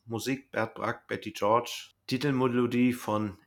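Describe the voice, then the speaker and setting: A man's voice: mid-range voice, little pitch movement, measured pace. A man co-hosting a podcast, chatting casually as he reviews audio dramas. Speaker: podcast co-host; bus driver.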